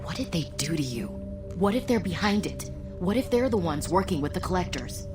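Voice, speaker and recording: An actress playing a proud, hurt, loyal soldier in a video game, speaking earnestly and accusingly. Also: female voice, fairly low pitch, lively intonation, fairly quick, natural voice. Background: loud music.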